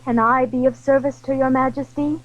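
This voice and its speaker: A woman's voice: sits high, level tone, moderate pace, natural voice. A woman playing an earnest, emotional young lady of a royal household in a theatrical audio drama. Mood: devoted, reassuring. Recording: slightly dull 1980s audio drama recording.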